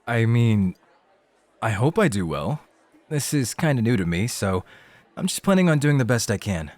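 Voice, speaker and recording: A man's voice: mid-range voice, very animated, normal speed, darkish tone, dry recording, close to an everyday voice. A man acting in an ASMR roleplay as a laid-back rookie club host, casual, hesitant and a little awkward. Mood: nervous, modest.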